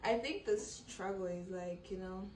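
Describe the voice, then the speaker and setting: A Zambian woman talks to camera in an unhurried, sassy, playful way. Her voice sits in a middle pitch and carries an echoey room around it. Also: darkish tone, a little hiss or hum. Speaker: vlogger. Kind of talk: casual chat to camera.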